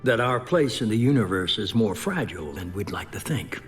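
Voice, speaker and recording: A shadowy, calculating mastermind played by a male actor from a sci-fi game. He speaks in a low, measured, portentous voice over faint music. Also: animated, close to an everyday voice. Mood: measured, grave. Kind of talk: video game cutscene acting.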